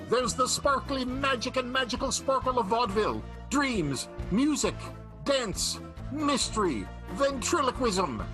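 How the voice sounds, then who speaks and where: A man's voice: high-pitched, rough recording. A man narrating as the playful, theatrical announcer of an improvised old-time radio soap opera. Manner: melodramatic, playful, tongue-in-cheek.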